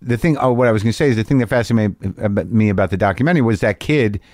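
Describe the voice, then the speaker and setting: A man's voice: lowish voice, very fast, a little mellow, no room sound, clean studio sound. A man hosting a casual interview, asking curious questions with frequent stumbles and restarts. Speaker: podcast host.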